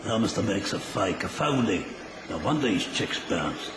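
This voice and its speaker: An actor playing an indignant but loyal small-time crook in a radio drama, plain-spoken. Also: male voice, lowish voice, very animated, normal speed. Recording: echoey tiled-room scene, noisy.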